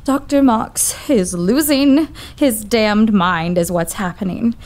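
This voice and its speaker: A woman's voice: mid-range voice, slow, darkish tone, close to an everyday voice. An actress playing a loyal young female assistant who speaks earnestly and pleads in worry. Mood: distraught. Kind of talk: audio drama acting.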